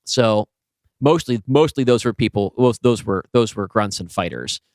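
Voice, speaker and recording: A man's voice: on the low side, animated, moderate pace, a little mellow, no echo, clean studio sound. A man co-hosting a podcast in casual conversation, speaking in an emphatic, enthusiastic way. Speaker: podcast co-host and writer.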